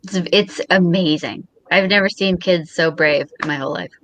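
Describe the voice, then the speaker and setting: A woman from Long Island chatting casually as a guest, modest and quick to giggle. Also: on the low side, dry recording. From Long Island, New York. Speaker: guest performer. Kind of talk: casual chat.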